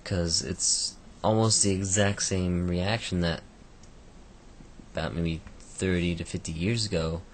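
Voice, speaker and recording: A man with a croaky voice giving a casual, rambling book review, full of fillers and restarts. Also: low voice, fairly even intonation, unhurried, a little hiss or hum.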